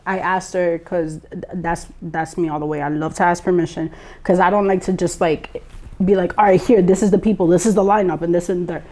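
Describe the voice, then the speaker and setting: Young female guest from Lawrence, Massachusetts; animated, blunt, slangy storyteller. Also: mid-range voice. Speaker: guest, former radio co-host. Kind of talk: casual chat.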